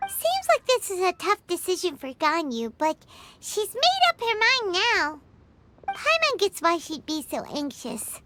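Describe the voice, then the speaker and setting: A woman voicing a small, perky, childlike fairy companion in a video game, chirpy, squeaky and full of curiosity. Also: huge pitch swings, measured pace, sharp tone, heavily acted. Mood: musing. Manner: speaks of herself in the third person, perky. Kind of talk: video game character acting. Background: music.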